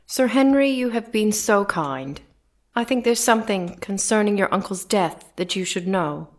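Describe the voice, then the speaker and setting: A woman acting as a loyal, guarded household servant in an audio drama. She speaks in a mid-range voice, grave, deliberate and respectful. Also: medium pitch, expressive, lightly acted. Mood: confessing, grave.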